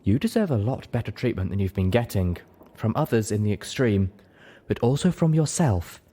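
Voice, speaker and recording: A man's voice: fairly low pitch, big pitch swings, moderate pace. Man voicing a motherly, insistent pony mare medic. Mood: gently insistent. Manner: gentle but insistent.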